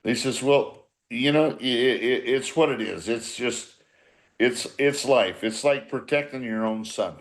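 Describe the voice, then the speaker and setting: A man's voice: medium pitch, unhurried. A man in his sixties, a former baseball manager, reminiscing casually in a rambling, repetitive, blunt and salty way. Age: 60s. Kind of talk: casual reminiscing in a group podcast.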